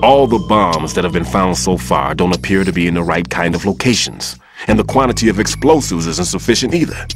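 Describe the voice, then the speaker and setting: An actor playing a gruff, world-weary veteran demolition expert who speaks in a grave, measured, guarded way in a video game. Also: male voice, sits low, animated, over music. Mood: troubled, urgent. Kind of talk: dramatic game voice acting.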